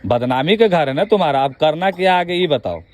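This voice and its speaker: A man's voice: a little high, lively intonation, fairly quick, a little mellow. A man with a Bihari accent in an informal phone conversation, asking pressing questions in a colloquial way and cutting in. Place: phone call.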